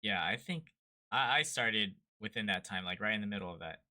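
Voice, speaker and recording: A man's voice: on the low side, lively intonation, brisk, pushed and bright. A man hosting a podcast, asking curious follow-up questions in a casual interview chat. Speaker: podcast host.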